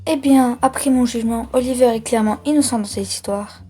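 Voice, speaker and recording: A stern, haughty cartoon schoolmistress, acted by a woman, fairly high but mellow in voice. She is formal and condescending, over background music. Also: fairly quick, slightly rough. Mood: scornful. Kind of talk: fan-dub cartoon acting.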